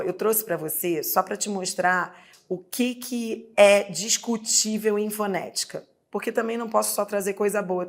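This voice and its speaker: Woman, Brazilian Portuguese accent, exam-prep teacher, mid-pitched voice, talks straight to the viewer. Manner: explanatory, addresses viewer directly, frequent "né?" checks. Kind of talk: recorded video lesson.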